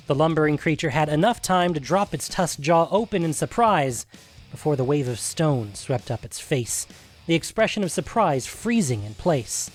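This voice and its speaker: A man reading scripted narration for a fantasy adventure story. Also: a little high.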